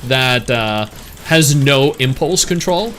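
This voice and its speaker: A man's voice: medium pitch, lively intonation, takes it slowly, a bit bright. A man streaming, chatting casually and opinionated as he reads and answers his live chat.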